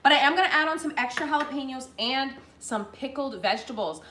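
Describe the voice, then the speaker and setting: A woman's voice: high-pitched, fast, pushed and bright. A young woman hosting a live cooking show from her home kitchen, chatty and bubbly, talking to viewers as she assembles a dish. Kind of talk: live cooking demo, casual chat. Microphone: fixed camera at counter distance. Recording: echoey kitchen room.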